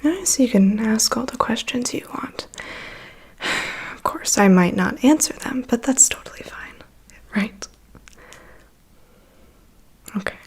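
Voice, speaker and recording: A woman playing a calm, prying vampire's assistant in an ASMR roleplay, murmuring dry asides and reassurance in a breathy whisper. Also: a little high, a little slow, exaggerated voice. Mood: calm, wry. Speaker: ASMR performer. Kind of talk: ASMR roleplay, personal attention.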